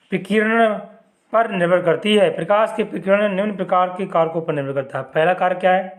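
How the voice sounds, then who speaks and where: High-voiced male teacher with sore throat. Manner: repeats points as questions and answers them himself, adds "ji" to sentences. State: sore throat, clearing it often. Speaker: chemistry teacher. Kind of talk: exam-prep lesson explaining diagrams.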